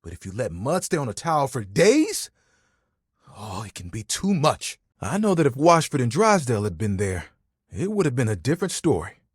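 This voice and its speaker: A man playing an easygoing, proud and sentimental living towel in a video game, with a husky, expressive and earnest delivery. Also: medium pitch, normal speed, dry recording, studio quality. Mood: wistful. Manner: laid-back, expressive, earnest, playfully boastful. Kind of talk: video game character dialogue.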